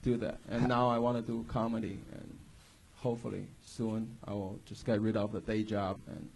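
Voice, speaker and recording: A man's voice: fairly low pitch, little pitch movement, normal speed, gravelly, darkish tone, a little hiss or hum, rough recording. A man, a comedian guest bantering on stage, who halts and searches for words with many fillers. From China. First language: Mandarin Chinese. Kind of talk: live panel banter.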